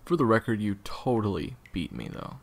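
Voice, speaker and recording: A man's voice: on the low side, big pitch swings, moderate pace, vocal fry, exaggerated voice. A man playing a weary, evasive assassin in an audio roleplay, talking in a dry, hesitant, sarcastic way. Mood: wry, tense. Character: sardonic male assassin captor, weary and evasive.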